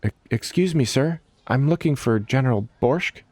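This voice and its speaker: A man's voice: medium pitch, animated, normal speed, a little mellow, no room sound, pitched above the performer's own voice, natural voice. A man voicing an earnest, polite adventurer with a slightly hesitant way of speaking.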